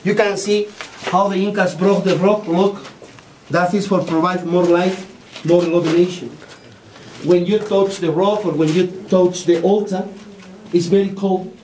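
A man in his forties working as a tour guide, explaining in halting non-native English, recorded by a visitor's camera inside a rock cave. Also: high voice, flat delivery, a little mellow, a little hiss or hum. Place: inside a rock cave and on an outdoor hillside path. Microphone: tourist's camera at a few steps' distance. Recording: echoey cave recorded from a distance.

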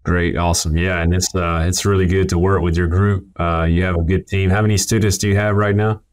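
Very deep-voiced American male podcast host. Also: flat delivery, fairly quick. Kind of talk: casual podcast hosting. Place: office, video call.

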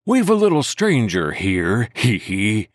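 A man's voice: fairly low pitch, wildly swooping pitch, takes it slowly, no room sound, studio quality, exaggerated voice. A man putting on a jovial old pirate cook. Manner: wheedling, jovial sea talk. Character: hearty old male one-legged pirate cook.

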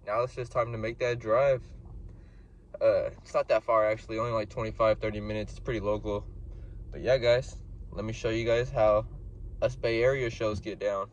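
A man's voice: lowish voice, dull audio, slight background noise. A young man, vlogging casually to viewers from his car and an expo hall, filmed on a handheld phone. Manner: laid-back slangy talk to viewers. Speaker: reptile vendor vlogger. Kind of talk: casual vlog narration. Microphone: handheld phone at arm's length.

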